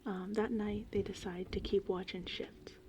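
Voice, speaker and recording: A woman speaking in a breathy, hushed whisper as an ASMR host, rambling informally through a film recap. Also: mid-range voice, little pitch movement, moderate pace. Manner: informal, rambling, conversational retelling. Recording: faint background noise, rain and thunder ambience. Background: gentle rain and thunderstorm ambience.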